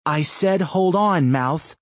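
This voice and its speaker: A man's voice: fairly high pitch, lively intonation, normal speed, darkish tone, husky, dry recording, pitched above the performer's own voice. A male narrator voicing a swaggering, profane man who gives orders in an audiobook. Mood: firm, cocky. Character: cocky foul-mouthed man giving orders. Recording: muffled lo-fi audio.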